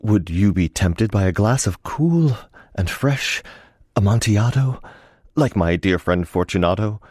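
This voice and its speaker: A fallen nobleman confined to an asylum, courtly and unctuous with bitterness underneath. He savors each word theatrically in a lowish, swooping voice. The radio drama sound is close and dry. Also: animated, measured pace, a little mellow, slightly rough, dry recording. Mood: ingratiating, courtly. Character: courtly, embittered fallen nobleman, a madhouse patient.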